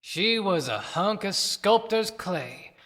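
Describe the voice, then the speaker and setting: A woman's voice: medium pitch, animated, a bit bright. A woman playing a bitter, haunted dead man, delivering a dramatic poetic monologue in an audio play. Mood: anguished, haunted. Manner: dramatic, declamatory, deliberate. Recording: long hall reverb.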